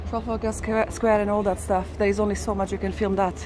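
A woman's voice: mid-range voice, little pitch movement, fast talker, a little mellow. A woman vlogger chatting casually and emphatically as she narrates a walk outdoors. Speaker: walking tour vlogger. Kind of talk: casual live narration while walking. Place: busy city street outdoors. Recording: outdoor street noise with traffic. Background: urban ambience, passing vehicles.

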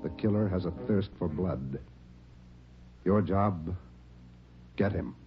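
A man's voice: low-pitched, slow pace. An American man delivering punchy, portentous scripted narration as a mid-century radio crime show announcer. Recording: muffled old radio broadcast. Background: dramatic music.

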